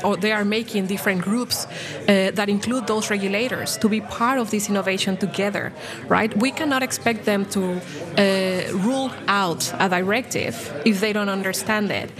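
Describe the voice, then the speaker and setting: A woman's voice: mid-range voice, steady tone, moderate pace, a crowd in the background. A woman in her forties from Latin America, a bank program director speaking as an interview guest, passionate and full of restarts and fillers. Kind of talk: podcast interview.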